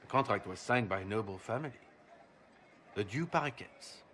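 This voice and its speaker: A man's voice: on the low side, expressive, moderate pace, a bit bright, slight background noise. An actor playing a courtly, calculating nobleman in a video game, with a gracious and formal manner. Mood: grave, knowing. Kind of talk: video game cutscene acting.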